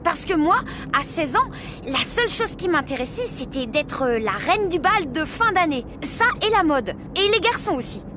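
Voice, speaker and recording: Very high-voiced, breezy cartoon aunt. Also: female voice, big pitch swings, moderate pace, noisy background. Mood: breezy, curious. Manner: teasing, breezy. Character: youthful, playful, teasing aunt of a teenage girl. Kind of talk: animated series voice acting.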